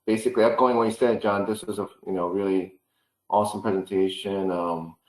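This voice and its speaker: A man organizing a program, wrapping up a video call in a halting way with many fillers. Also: fairly low pitch, steady tone, reverberant, poor audio.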